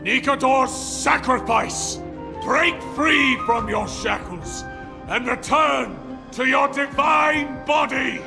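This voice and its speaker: An actor playing a fervent ritual chanter in a video game, calling out a drawn-out, declamatory invocation. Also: male voice, extremely high pitch, gravelly, exaggerated voice. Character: fervent ritual chanter commanding a god to return. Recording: long hall reverb with music.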